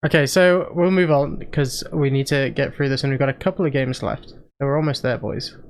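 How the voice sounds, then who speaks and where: A man's voice: mid-range voice, brisk, a little hiss or hum. A man hosting a casual game-trailer reaction stream, presenting with lots of ums and restarts. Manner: hesitant, filler-heavy presenting. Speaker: stream host.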